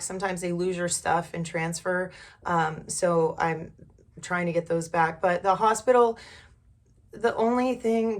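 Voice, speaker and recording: A middle-aged woman talks in a somewhat low voice, hoarse from being sick. She tells her own story in a loose, conversational vlog style. Her delivery is frank and informal, with mild cursing. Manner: candid, informal, mildly profane. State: hoarse from a recent illness. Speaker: vlogger. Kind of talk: casual personal storytelling.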